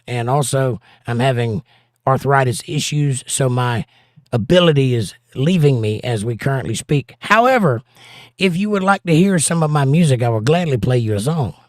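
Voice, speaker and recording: A man with a hoarse, partly lost voice, hosting a casual livestream and giving plain-spoken, folksy advice while reading viewer comments. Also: medium pitch, no room sound, studio quality. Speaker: livestream host and pastor.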